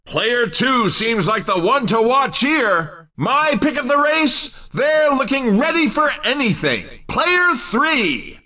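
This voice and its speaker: An actor playing an excited, jokey racing commentator in a video game, with punchy, showy delivery. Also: male voice, very high voice, slow pace, far from the mic, heavily acted. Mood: excited, confident. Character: hyped, jokey male arcade racing commentator. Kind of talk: excited sports commentary voice lines.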